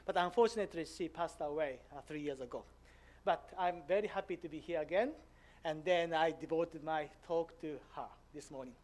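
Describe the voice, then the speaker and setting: Man, japanese accent, fifties, academic lecture, halting with lots of uh fillers. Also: on the high side. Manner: hesitant, frequent "uh" fillers, simple non-native grammar. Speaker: visiting professor giving a lecture.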